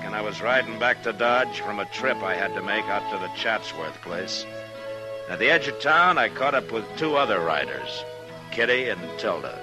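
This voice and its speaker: A man acting a steady, rueful Western town marshal in an old-time radio drama. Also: sits low, poor audio. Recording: vintage radio recording with music.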